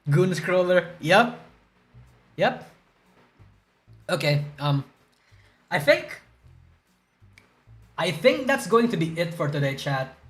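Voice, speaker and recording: Rambling, profane male streamer, high voice. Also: lively intonation, slow pace, long pauses, a bit bright, soft background music. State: groggy from a hangover. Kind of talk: casual livestream chat reacting to news.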